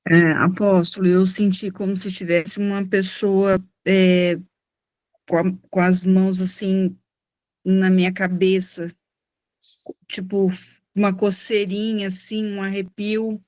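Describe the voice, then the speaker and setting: A woman's voice: mid-range voice, little pitch movement, unhurried, darkish tone, dry recording. A woman, a pastor, hesitantly describing something she felt, searching for words as she talks. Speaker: pastor attending a class.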